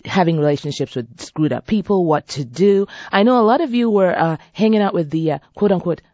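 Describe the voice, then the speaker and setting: Husky-voiced woman radio host. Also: on the low side, expressive, fairly quick, a little mellow, no echo, dull audio. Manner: chatty, playful, exclamatory. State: husky voice from a seasonal cold. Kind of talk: lively radio talk show chat. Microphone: studio microphone.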